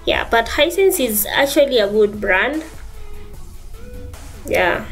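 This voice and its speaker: A woman's voice: on the high side, lively intonation, slow, faint music behind. A woman with a Kenyan accent, vlogging casually on her phone in her living room, chatty and saying "guys" and "yeah" a lot. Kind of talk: casual vlog narration.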